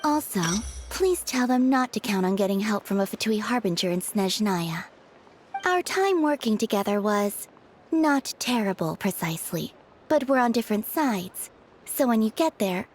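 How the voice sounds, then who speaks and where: An actress playing a composed young woman in video game dialogue, speaking in a measured, courteous way. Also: medium pitch, lively intonation, darkish tone, slight background noise, lightly acted. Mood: cool, reserved. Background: music.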